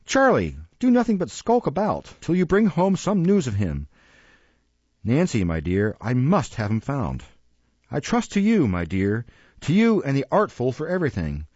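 A man voicing an excitable, scheming old male thief boss with a shrill voice. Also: moderate pace, slightly rough, dry recording, muffled recording. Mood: agitated. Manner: excitable, fussing.

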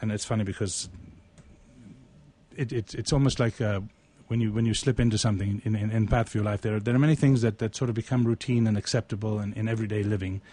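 A man's voice: fairly low pitch, steady tone, fast, dark tone, breathy edge. A man hosting a radio show, telling stories and presenting poetry in a spoken, literary way. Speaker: radio show host and poet. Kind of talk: conversational storytelling and poetry recitation.

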